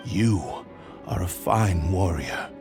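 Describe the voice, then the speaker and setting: Casting: deep, weary ronin warrior, grave and formal, anime fantasy game with music. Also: male voice, expressive, slow. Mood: somber, resolute. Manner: solemn, formal, old-fashioned diction.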